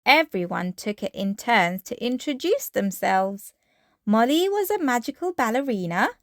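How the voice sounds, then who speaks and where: A woman's voice: fairly high pitch, sing-song, a little slow, no echo, studio quality. A woman reading a children's bedtime story aloud as narrator.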